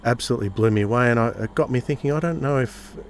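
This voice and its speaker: A man's voice: medium pitch, fast talker, a little hiss or hum. A man co-hosting a podcast, chatting casually as he puts questions to a guest. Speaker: podcast co-host. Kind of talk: casual interview chat.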